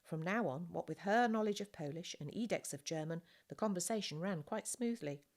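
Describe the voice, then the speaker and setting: Low-pitched woman reading a children's novel. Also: fairly quick, darkish tone. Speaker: audiobook reader. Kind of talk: expressive scripted narration of a children's novel.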